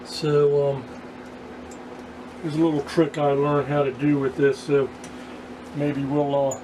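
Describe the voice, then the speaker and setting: A man giving a casual hands-on repair walkthrough, speaking haltingly with pauses in mid-sentence. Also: on the high side, completely flat delivery, noisy background. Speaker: vlogger repairing vintage radios.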